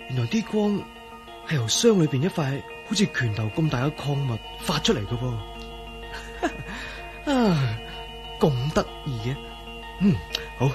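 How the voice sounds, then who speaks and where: Highly expressive, troubled Cantonese man. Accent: Hong Kong Cantonese. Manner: sighing, hesitant, excitable and dramatic. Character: troubled man named Ah-Yin, turned invisible, recounting his ordeal. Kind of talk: radio drama acting.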